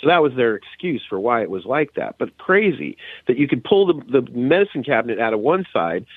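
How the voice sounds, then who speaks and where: A man's voice: medium pitch, very animated, a little fast, no room sound. A man talking casually as a podcast guest, heard through thin, phone-like remote call audio. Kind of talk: casual chat.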